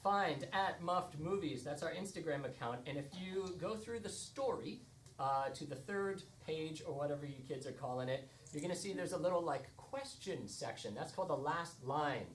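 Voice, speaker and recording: A man's voice: on the high side, animated, normal speed. An American man hosting a live improv show, giving a casual, joking introduction to a theatre audience. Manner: wry, joking. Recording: noisy live theatre stage recording.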